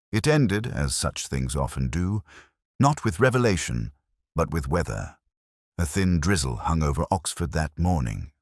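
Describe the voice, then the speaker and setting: A man acting a melancholy detective looking back on a case in a radio play. The voice is extremely low and swings noticeably in pitch as the measured, literary narration unfolds. It is recorded close and dry, with a solemn, reflective delivery. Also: no echo, exaggerated voice. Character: weary, melancholy older male detective narrating his case. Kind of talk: scripted first-person dramatic narration.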